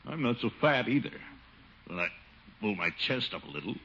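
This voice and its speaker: An actor playing a pompous, easily wounded middle-aged uncle, blustering and muttering theatrically in 1950s radio comedy style. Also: male voice, mid-range voice, big pitch swings, fairly quick. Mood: self-mocking grumbling. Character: pompous, wounded, self-pitying chubby middle-aged uncle, town official. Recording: muffled, noisy old radio broadcast.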